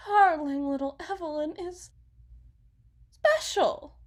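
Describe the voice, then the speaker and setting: Casting: actress as fragile, self-blaming housewife and mother for audio roleplay; high voice, very unhurried, halting and defensive. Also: exaggerated voice. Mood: halting, anxious. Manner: halting, rambling, self-correcting, sighing. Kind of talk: ASMR dramatic monologue roleplay.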